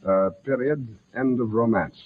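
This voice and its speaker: A man playing a sardonic, deadpan hard-boiled private detective in the style of 1940s radio noir. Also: mid-range voice, moderate pace, close to an everyday voice. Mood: mock grand. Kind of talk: 1940s radio acting, first-person noir narration. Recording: old lo-fi radio recording with music.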